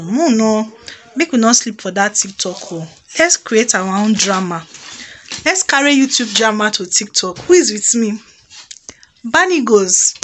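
A Nigerian woman in her thirties chatting casually to camera in an expressive, exclamatory storytelling way, recorded on a phone in a room at home. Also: medium pitch, a little hiss or hum. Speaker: vlogger. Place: small indoor room at home.

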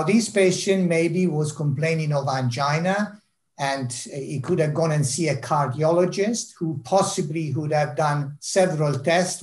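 A man's voice: on the high side, darkish tone. A middle-aged man from Italy with an Italian accent, presenting with dry self-deprecating humour over a video call from a home office. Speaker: heart surgeon giving a talk.

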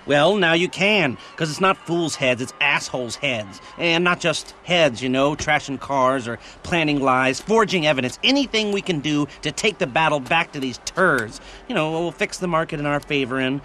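Middle-aged American schemer, video game. Bright forceful voice, clipped sarcasm, faint street ambience. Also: male voice, a little high, expressive, moderate pace, few pauses, a little hiss or hum. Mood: scornful. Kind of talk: video game cutscene acting.

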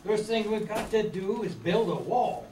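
A high-pitched, practical leader of young castaways, acted out by a man in an old-time radio adventure. He takes charge as he reads from a script. The sound is roomy and distant, with faint noise. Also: lively intonation, unhurried. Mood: decisive. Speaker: amateur actor in a live radio-play reading. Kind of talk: 1930s children's radio adventure acting, read from script. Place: meeting room stage before seated audience. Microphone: far camera.

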